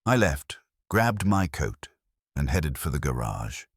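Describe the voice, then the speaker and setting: A man's voice: a bass voice, sing-song, slow pace, husky, no echo. A man narrating a first-person detective story in a dry, wry manner. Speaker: audiobook narrator.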